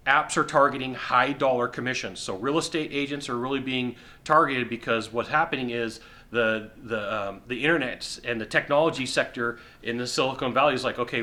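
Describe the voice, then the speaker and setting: A middle-aged man giving sales advice straight to the camera from a sofa indoors, emphatic and repetitive, with quick acted-out asides. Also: medium pitch, sharp tone. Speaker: sales coach. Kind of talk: direct-to-camera advice talk. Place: indoor living room on a sofa.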